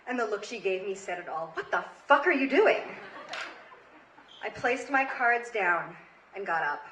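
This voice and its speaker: A woman's voice: medium pitch, expressive, measured pace, fairly bright tone. An American woman in her thirties telling a comedic story live on stage, with a dramatic, emphatic delivery. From Queens, New York, United States. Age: 30s. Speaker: comedy storyteller, show host. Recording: roomy live stage recording, faint noise.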